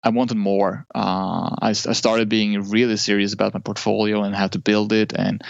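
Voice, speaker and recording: A man's voice: on the low side, little pitch movement, normal speed, gravelly, no echo, dull audio. A man from Norway, a guest in an interview, talking casually about his money habits with many fillers and restarts.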